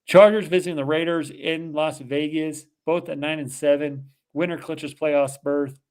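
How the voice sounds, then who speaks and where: A man's voice: fairly high pitch, fairly even intonation, moderate pace, darkish tone, clean tone. A man with a Boston accent co-hosting a casual football picks show, rattling off confident predictions. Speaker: sports picks co-host. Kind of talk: casual sports betting chat.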